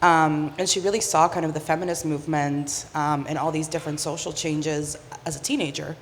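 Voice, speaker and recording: Guest: woman from the Dominican Republic, low-pitched and earnest, long-reverb radio studio with faint hiss. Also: fairly even intonation, fairly quick. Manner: earnest, many fillers. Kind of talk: radio interview.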